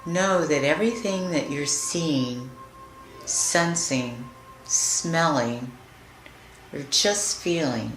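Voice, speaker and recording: A woman leading a guided meditation in a soothing, deliberate manner, leaving long pauses between phrases. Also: a bass voice. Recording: roomy echo with music behind. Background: music.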